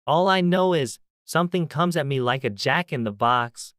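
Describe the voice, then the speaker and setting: A man's voice: fairly high pitch, lively intonation, moderate pace, a bit bright, smooth, no echo, clean studio sound, voice pushed up. A man voicing a small, feeble, flustered lizard with rustic speech in a storybook.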